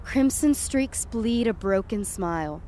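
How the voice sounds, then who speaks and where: A woman's voice: medium pitch, animated, slow pace, slight background noise. A woman playing a frightened, dazed young woman who narrates her nightmare in the first person, intense and dramatic. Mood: shaken, subdued. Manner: intense, dramatic, swinging from hushed to urgent.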